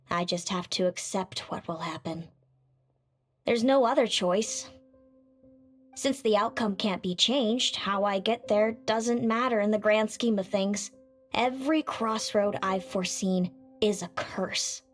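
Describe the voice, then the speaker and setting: A woman acting as a resigned, fatalistic young seer in a video game, speaking in a solemn, measured, weary manner. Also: mid-range voice, lightly acted.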